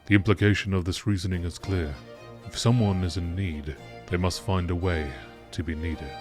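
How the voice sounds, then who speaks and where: A man reading scripted lore texts in a nature documentary narration style, with measured, deliberate phrasing. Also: deep voice, steady tone, soft background music.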